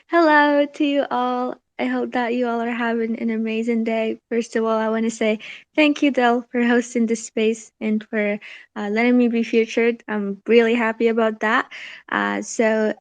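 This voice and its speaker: A nineteen-year-old woman, a guest creator giving an informal, polite and earnest pitch for a project. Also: level tone, normal speed, no room sound.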